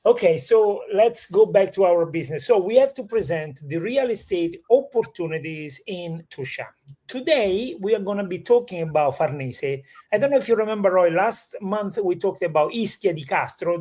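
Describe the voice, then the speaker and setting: High-voiced Italian man hosting. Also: a little mellow. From Italy. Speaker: host of a real estate livestream. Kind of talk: chatty live show presenting.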